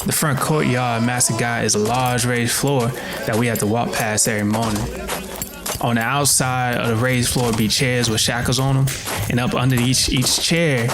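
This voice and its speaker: A man's voice: mid-range voice, fairly even intonation, moderate pace, close to an everyday voice. Man acting an enslaved Southern storyteller, music beneath. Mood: reflective. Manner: folksy, storytelling. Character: enslaved young man narrating plantation life, plainspoken and weary. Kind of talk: dramatic first-person narration in dialect. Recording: music under the voice.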